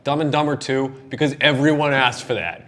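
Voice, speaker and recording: A man's voice: medium pitch, steady tone, fairly quick, a bit bright. A young man co-hosting a casual group chat, opinionated and emphatic, repeating words to drive points home. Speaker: podcast co-host.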